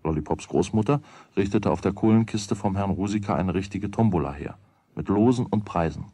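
A middle-aged man narrating a children's radio play as a calm storyteller. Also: low voice, fairly quick, husky, no room sound. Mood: calm narration.

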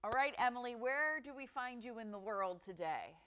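Woman tv host, fairly high voice, light morning show chat. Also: animated, normal speed, sharp tone, smooth. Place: TV studio.

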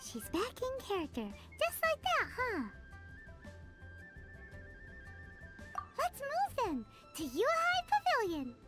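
A woman's voice: very high-pitched, huge pitch swings, normal speed, exaggerated voice. A woman voicing a tiny, cheeky childlike companion sprite in a video game, blunt and chirpy. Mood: cheeky, eager. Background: prominent game music.

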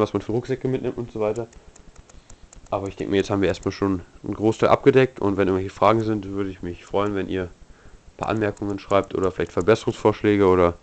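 Young man with a cold, lowish voice, rambling casual vlogger on a handheld camera. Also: fairly even intonation, fast, darkish tone. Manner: rambling, informal, many fillers and restarts. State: has a cold. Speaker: outdoor gear vlogger. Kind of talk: casual gear walkthrough. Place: indoors, a room with gear laid out on a blanket.

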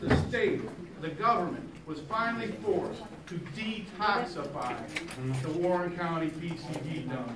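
A man with an American accent and a high-pitched voice lays out his case insistently, checking in after each point. He is recorded from a distance in an echoey room with noticeable background noise. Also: a little slow. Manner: insistent, punctuates points with "okay?". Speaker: activist panelist. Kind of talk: explanatory public presentation. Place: crowded library meeting room. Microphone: camera in the audience, far off.

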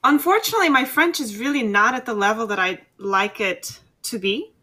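A woman's voice: on the high side, expressive, a little fast, bright, forceful tone. A woman whose first language is Korean, chatting as a guest on a video call in a light, self-deprecating way. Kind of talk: casual chat.

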